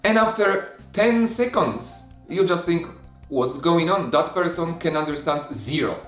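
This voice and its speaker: A man who manages a language school, speaking English as a second language in a relaxed chat, often pausing with fillers. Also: on the high side, reverberant, faint music behind. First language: not English. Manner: animated, halting with many fillers. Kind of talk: casual conversational chat.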